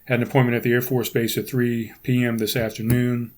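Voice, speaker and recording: Hoarse, congested man rambling. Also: mid-range voice, little pitch movement, fairly quick, darkish tone. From United States. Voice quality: raspy. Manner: rambling, frequent restarts and throat clearing. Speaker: podcast host. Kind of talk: casual solo podcast talk.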